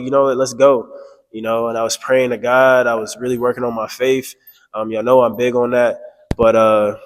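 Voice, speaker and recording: A man in the middle of his range talks through a casual vlog, his voice stuffy from a cold that hasn't quite cleared. He rambles openly, circling back through fillers and half-started thoughts. A long reverb trails behind every phrase, as if he were in a large, echoing room. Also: medium pitch. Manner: rambling and candid, with many fillers and restarts. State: stuffy, getting over a cold. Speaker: vlogger. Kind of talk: casual personal vlog monologue.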